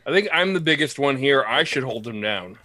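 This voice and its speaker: A man's voice: mid-range voice, expressive, normal speed, sharp tone, dry recording. A man trading casual, teasing banter on a video call. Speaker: participant in a live stream.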